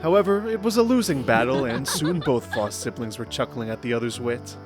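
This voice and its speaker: A man's voice: mid-range voice, lively intonation, fairly quick. A man narrating a scripted fantasy story. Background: faint background music.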